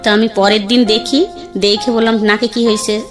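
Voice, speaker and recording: A woman's voice: medium pitch, level tone, normal speed. A woman in her thirties with a Bangladeshi colloquial accent, plainly telling her life story and acting out what others said. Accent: Bangladeshi colloquial Bengali. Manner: plain conversational, acts out quoted dialogue. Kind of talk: candid storytelling. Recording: faint background music.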